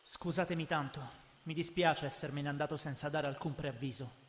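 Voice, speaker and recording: A man's voice: on the high side, fairly even intonation, moderate pace, slight background noise, close to an everyday voice. A man voicing a friendly, determined young soccer coach in an Italian anime fan dub, upbeat and direct. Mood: explaining. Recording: long reverb, music behind.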